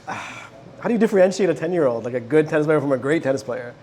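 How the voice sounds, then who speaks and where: A man's voice: a little high, expressive, a little fast, a little mellow, slight background noise. A man hosting an interview, asking curious, conversational questions. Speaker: interview host. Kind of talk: casual interview.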